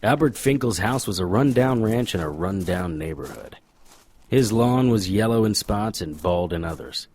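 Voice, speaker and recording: A low-voiced, wry hardboiled detective. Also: male voice. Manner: deadpan, wisecracking. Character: wry, hardboiled male private investigator. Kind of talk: noir audio drama narration and dialogue.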